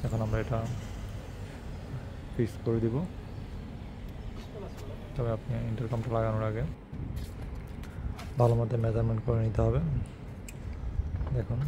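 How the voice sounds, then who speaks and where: A man giving an unscripted how-to as an installer, mixing English technical terms into plain instructions. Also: medium pitch. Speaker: installer presenting a how-to video. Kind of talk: unscripted tutorial narration. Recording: loud ambient and vehicle-like noise.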